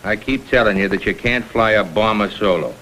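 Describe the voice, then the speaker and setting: Hard-nosed lieutenant, American, blunt and forceful, lowish pitch, vintage TV crime drama. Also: male voice, a little fast, a little hiss or hum, poor audio. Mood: sardonic. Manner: blunt, terse, world-weary. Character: hard-nosed, sceptical male police lieutenant.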